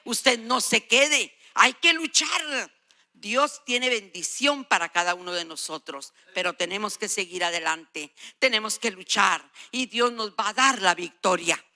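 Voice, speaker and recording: A woman's voice: mid-range voice, measured pace, bright, forceful tone. A woman in her sixties or older preaching a sermon, emphatic and exhorting, repeating phrases for weight. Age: 60s or older. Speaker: guest preacher.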